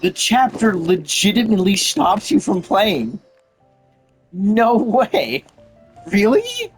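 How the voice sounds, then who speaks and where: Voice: medium pitch, sing-song, slow pace, soft background music. A game streamer giving casual gameplay commentary, musing aloud and reacting in slang.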